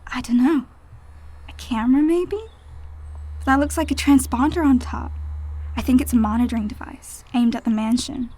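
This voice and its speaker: A woman playing a plucky, casual teenage mutant girl in an audio drama, with a slightly creaky voice. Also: sits high, normal speed, pauses often, warm tone.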